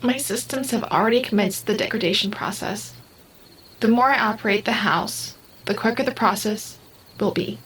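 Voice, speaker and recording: Woman as half-human house AI, calm, formal, precise diction, devoted, audio drama, faint background noise. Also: medium pitch, normal speed, natural voice, low-quality recording. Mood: calm, resolute.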